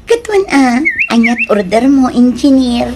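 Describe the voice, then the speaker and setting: A woman's voice: on the high side, sing-song, a little slow, noisy background. An actress playing an eager, easily impressed young female food server in a comic radio drama, exclaiming as she talks. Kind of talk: comedic radio drama acting.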